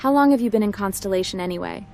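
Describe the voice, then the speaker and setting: A woman playing a young space pilot recruit in a video game, speaking in a brisk, matter-of-fact way. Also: medium pitch, lively intonation, creaky, darkish tone, slight background noise. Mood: friendly. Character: young female space pilot recruit, hacker, guarded and polite. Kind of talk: scripted video game roleplay dialogue.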